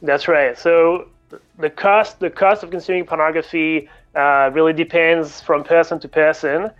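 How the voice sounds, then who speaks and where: A man's voice: on the high side, fairly even intonation, normal speed, a bit bright, highs cut off, soft background music. A man from France with a French accent, a native French speaker, answering interview questions as a coach in a candid, reflective way. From France, grew up in Paris. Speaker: guest, coach.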